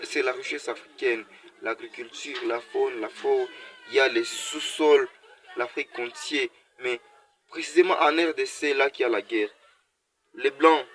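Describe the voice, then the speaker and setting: A man from Congo speaks straight to his viewers in an impassioned, exhortative monologue. His voice sits at a middle pitch, and he repeats words and restarts phrases as he presses his points. A faint hiss of background noise runs under the recording. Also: fairly bright tone. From Congo (DRC). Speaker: video creator.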